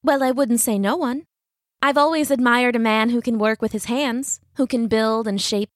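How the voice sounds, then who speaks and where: A woman's voice: a little high, normal speed, dry recording, clean studio sound, voice pushed up, lightly acted. A woman voicing a playful young lady of a wealthy house, genteel and teasing, in a storybook tale. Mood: warm admiration.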